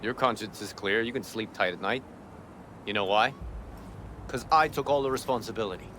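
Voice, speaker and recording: A man's voice: fairly low pitch, moderate pace, sharp tone, slight background noise. A man playing a cynical, world-weary corrupt cop in a video game, with an American accent and scornful, lecturing street talk. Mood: scornful, defensive.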